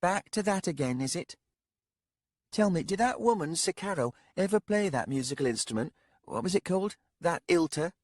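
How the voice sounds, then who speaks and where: A man's voice: high-pitched, animated, normal speed, no echo, clean studio sound, pitched above the performer's own voice. A man voicing a young noblewoman who is tearful, insistent and defiant. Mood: teasing. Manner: pleading, sharp and insistent.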